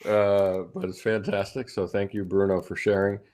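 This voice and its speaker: A man's voice: fairly low pitch, fairly even intonation, moderate pace, darkish tone. A man hosting a video call meetup, upbeat and encouraging in casual chat. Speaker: host.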